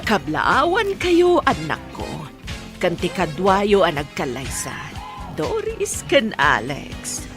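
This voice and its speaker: A woman's voice: lowish voice, huge pitch swings, slow, over music, heavily acted. A woman with an Ilocano accent playing a gracious, celebratory village mother of the groom in a radio comedy drama.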